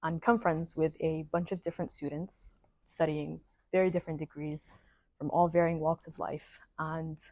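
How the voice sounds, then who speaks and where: A woman's voice: low voice, a little mellow, no echo. A young woman from Saudi Arabia, a student candidate giving a composed prepared statement. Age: young adult. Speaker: election candidate.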